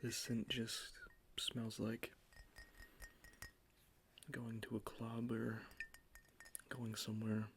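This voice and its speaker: A man doing an ASMR roleplay as a salesman, speaking softly and slipping into whispers, with a sales pitch in his delivery, close to the microphone. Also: monotone, very slow, long pauses, slight background noise. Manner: soft-spoken, drifting between quiet talk and whisper. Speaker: ASMR creator playing a cologne salesman.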